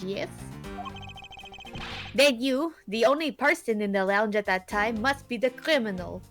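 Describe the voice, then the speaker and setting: A woman plays an impatient, pompous older gentleman in a game, barking at the accused with a put-on foreign accent that drifts from line to line. The voice sits bright and forceful, lurching between low grumbles and sudden high outbursts. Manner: blustering, shifting put-on European accent.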